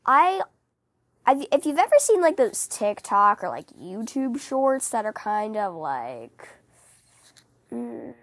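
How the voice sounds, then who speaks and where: A woman hosting a casual book-fan podcast, chatting in a rambling, animated, giggly way, her voice scratchy from a cold. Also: fairly high pitch, takes it slowly, dry recording. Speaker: podcast host.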